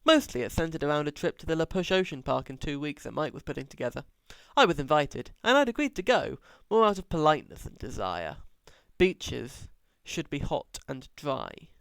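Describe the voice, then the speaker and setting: A man's voice: on the high side, sing-song, normal speed, vocal fry, few pauses, a bit bright, clean tone, no echo. A man narrating an audiobook as an amateur reader, sarcastic, with mocking, exaggerated emphasis.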